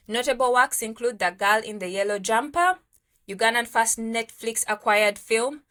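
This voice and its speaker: A woman's voice: on the high side, pushed and bright. A young woman hosting an interview, reading a polished guest introduction and asking questions in an upbeat way. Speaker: host. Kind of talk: interview host, scripted intro then chat. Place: room with bookshelves.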